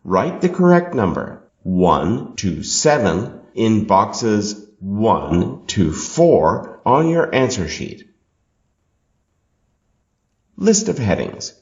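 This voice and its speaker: A man reads scripted test instructions and questions aloud in a measured, deliberate manner. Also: fairly low pitch, very animated, darkish tone. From Canada. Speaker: exam passage reader. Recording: dull, echoey room recording.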